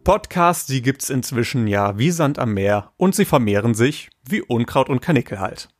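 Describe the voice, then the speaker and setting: A young man hosts a casual podcast interview. He talks in a chatty, joking way, with plenty of filler sounds. His voice sits at an ordinary middle pitch. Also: expressive, moderate pace, faint music behind. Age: young adult.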